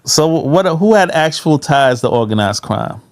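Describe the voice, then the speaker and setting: A man's voice: on the high side, expressive, unhurried. A man chatting as a podcast co-host, often repeating words as he searches for his phrasing. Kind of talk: casual podcast conversation.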